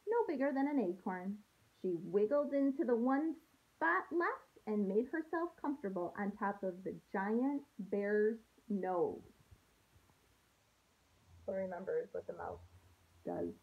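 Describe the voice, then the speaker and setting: A woman's voice: medium pitch, big pitch swings, measured pace, breathy edge, phone-quality sound. A young adult woman reading a picture book aloud to children, expressively, with simple questions for her listeners, recorded indoors at home. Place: small room at home, indoors.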